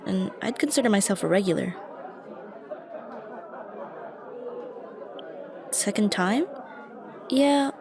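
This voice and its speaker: A woman playing a shy, dorky young stranger in an ASMR roleplay, talking to the listener in a hesitant, self-conscious, rambling way. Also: a little high. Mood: friendly, timid. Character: shy, dorky young woman, a friendly coffee shop regular. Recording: busy cafe chatter behind the voice. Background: coffee shop crowd ambience.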